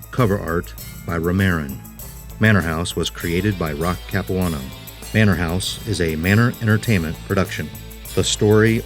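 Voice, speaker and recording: A man announcing scripted programme credits and legal disclaimers. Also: deep voice.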